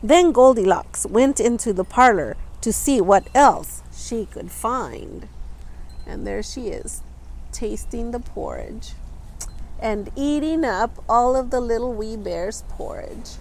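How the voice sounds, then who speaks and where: Female storytime reader, lively intonation and unhurried delivery, clearly stressing key words for small children. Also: a little high, a little hiss or hum, rough recording. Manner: expressive, deliberate, stressing and stretching words for young listeners. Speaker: storytime reader, teacher reading a picture book aloud. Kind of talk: children's storybook read-aloud with asides about the pictures.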